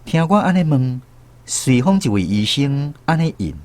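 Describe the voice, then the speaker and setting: A man's voice: medium pitch, very animated, moderate pace, darkish tone, no room sound. A man with a Taiwanese accent narrating a radio drama story. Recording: music under the voice. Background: instrumental music.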